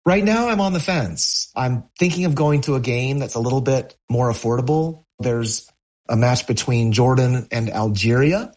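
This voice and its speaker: A man's voice: mid-range voice, animated, normal speed, a little mellow. A middle-aged American man, a poet, talking reflectively as a guest in an interview. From United States, California.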